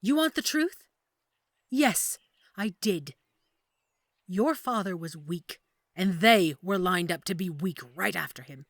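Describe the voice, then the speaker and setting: A woman's voice: on the low side, expressive, takes it slowly, pauses often, no room sound, clean studio sound, full character voice. A woman playing an imperious, scheming deposed queen in a fantasy audio drama, speaking in a haughty, formal, theatrical way. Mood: cold confession. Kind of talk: fantasy audio roleplay acting.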